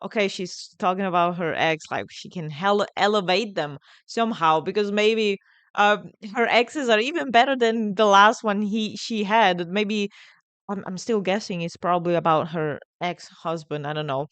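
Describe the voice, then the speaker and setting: A woman with an Italian accent hosts a music reaction in a clean, smooth voice. She speaks casually and with enthusiasm, repeating words as she works out her thoughts. The sound is dry and close. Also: mid-range voice, a bit bright, no echo. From Italy. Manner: enthusiastic, halting, repeats words while thinking. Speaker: music reaction video host.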